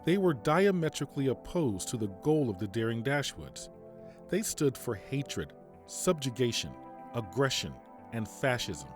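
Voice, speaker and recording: A man playing a stern, principled army general in an audio drama, speaking formally and deliberately. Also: medium pitch, sing-song, soft background music. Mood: grave, resolute. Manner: formal, measured, deliberate speech. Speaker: military general giving a radio interview.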